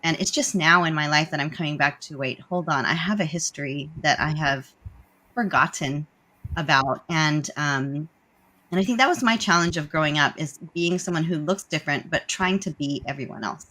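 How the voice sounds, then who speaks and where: Chatty low-voiced woman host. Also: pushed and bright. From United States. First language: Tagalog. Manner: chatty, laughing, apologetic, quick asides. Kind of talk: casual panel discussion on a video call.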